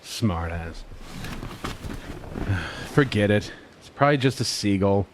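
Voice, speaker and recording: A stoic, dismissive cop in an audio drama, played by a man. His voice is fairly low and he sounds like someone who just follows orders, with a faint rumble behind. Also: lively intonation, takes it slowly. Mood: irritated. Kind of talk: naturalistic audio drama acting.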